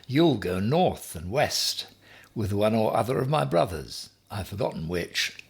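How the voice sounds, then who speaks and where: A man's voice: fairly low pitch, big pitch swings, unhurried, gravelly, husky, pitched above the performer's own voice, exaggerated voice. A man voicing a bossy, boastful would-be emperor in a children's story, with a pompous, emphatic, commanding manner. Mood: brisk, reassuring. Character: Ham, bossy, boastful man, self-styled future emperor.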